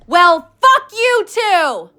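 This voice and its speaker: A fed-up young girlfriend in an audio play. Her voice is high and forceful, swinging widely in pitch as she confronts and challenges in exasperation. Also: very animated, extremely slow, soft background music, heavily acted. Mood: angry. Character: fed-up, hard-working girlfriend standing her ground. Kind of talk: naturalistic audio drama acting.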